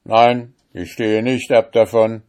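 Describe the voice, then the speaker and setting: A man's voice: fairly low pitch, flat delivery, very slow, voice pushed up. A man voicing a rich, wicked judge who blusters and threatens in a storybook reading. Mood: defiant. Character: rich wicked male judge, overbearing and arrogant.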